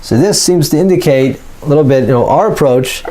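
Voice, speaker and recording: A man's voice: on the high side, fairly quick, dark tone, rough recording. Man teaching a methodical religious law class. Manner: analytical, methodical, stressing key points. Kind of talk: explanatory lecture on religious law.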